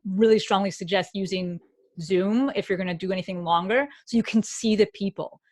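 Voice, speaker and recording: A woman co-host speaks over a video call in a dry, close sound with no room around her. She is agreeable and explains things plainly at a middle pitch. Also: steady tone, a little fast, a little mellow, dry recording. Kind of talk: casual live-stream chat.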